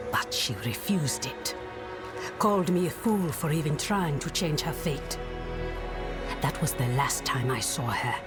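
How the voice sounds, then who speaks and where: Proud, stubborn fantasy game witch: slow, measured, cryptic delivery over prominent music. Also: deep voice. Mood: sorrowful, stubborn. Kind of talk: dramatic fantasy game dialogue. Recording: dramatic music behind dialogue.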